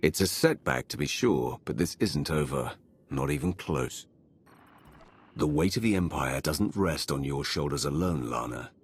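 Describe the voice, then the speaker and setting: A commanding male Sith lord in a game cutscene. His voice is extremely deep and heavily gravelled, with a husky rasp, and he speaks tersely and deliberately as a stern but supportive superior. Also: animated, exaggerated voice. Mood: reassuring. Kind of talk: dramatic video game cutscene acting.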